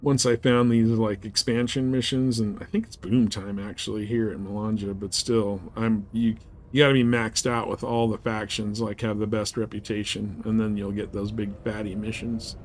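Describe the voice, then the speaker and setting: A man's voice: on the low side, flat delivery, moderate pace, a little hiss or hum. A man giving casual live game commentary, rambling and thinking aloud as he plays. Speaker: game streamer.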